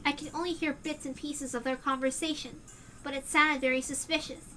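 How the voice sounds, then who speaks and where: A woman voicing a paranoid teenage girl narrator, earnestly sure she is being hunted, her voice hoarse. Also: high-pitched, steady tone, a little fast, pushed and bright, a little hiss or hum, rough recording. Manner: earnest, tense conviction.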